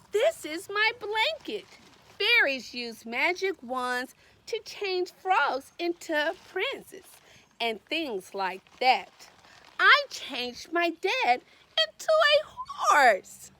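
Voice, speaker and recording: A woman's voice: extremely high pitch, huge pitch swings, very slow, sharp tone, full character voice. A woman voicing a boastful, playful little fairy girl from a picture book, with childlike, theatrical emphasis. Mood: proud, excited. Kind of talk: picture-book read-aloud.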